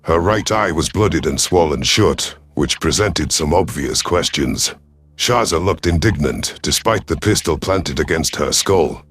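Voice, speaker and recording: Very deep-voiced man narrating science fiction. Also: animated, unhurried, a bit bright, breathy edge, no echo. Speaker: audio story narrator. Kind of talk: scripted first-person sci-fi narration.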